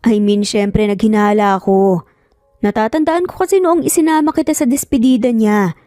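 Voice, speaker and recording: A woman's voice: fairly high pitch, moderate pace, mellow, no echo, clean studio sound, soft background music, lightly acted. A woman voicing a breezy, curious man with a casual Filipino accent, mixing in English, in an audio story. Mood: knowing, frank. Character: breezy, curious male cousin and best friend.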